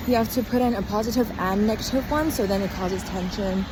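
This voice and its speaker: A tired young woman podcaster talking casually in a halting, rambling diary style, often trailing off mid-thought. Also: mid-range voice. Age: young adult. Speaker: podcast host. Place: outdoors on a walk in a town. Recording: outdoor street noise with passing cars. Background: traffic and urban ambience.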